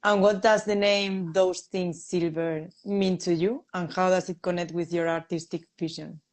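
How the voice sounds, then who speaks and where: A woman interviewer asking a musician measured, friendly questions. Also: fairly low pitch, steady tone.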